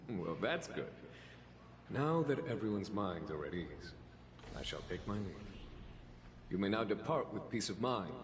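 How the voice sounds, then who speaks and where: Deep-voiced, dignified gentleman. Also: very animated, a little slow, pauses often, a little hiss or hum. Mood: gracious. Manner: formal, reassuring, polished. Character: courteous, dignified gentleman diplomat. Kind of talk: video game character acting.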